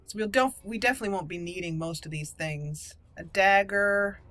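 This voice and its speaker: A woman's voice: mid-range voice, normal speed, a bit bright. A woman giving casual commentary while she plays and roleplays through a video game. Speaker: gaming channel host playing a roleplay.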